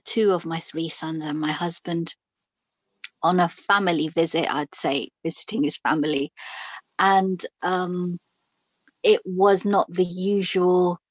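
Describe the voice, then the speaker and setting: A woman's voice: fairly low pitch, dry recording. A middle-aged woman from Pakistan, a guest telling her personal story in an interview, frank and reflective, with many fillers and restarts.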